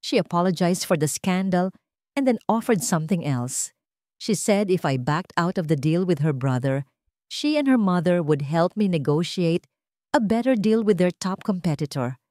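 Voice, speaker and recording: A woman's voice: lowish voice, expressive, moderate pace, warm tone, no echo, studio quality, close to an everyday voice. Woman acting an indignant, disgusted businessman. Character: Cantonese businessman on phone, indignant, disgusted by treachery. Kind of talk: audio drama storytelling.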